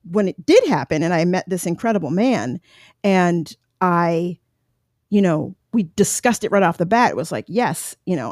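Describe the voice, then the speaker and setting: A woman's voice: on the low side, a little mellow, dry recording, clean studio sound. A woman in her forties telling her own adoption story in a candid, reflective way, with many fillers and restarts. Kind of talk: personal storytelling monologue.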